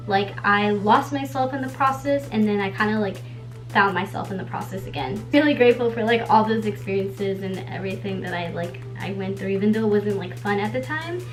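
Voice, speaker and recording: A young woman in her twenties, a native Spanish speaker, chatting casually at home while doing her makeup, rambling with lots of "like" and the odd swear word. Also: mid-range voice. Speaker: beauty vlogger.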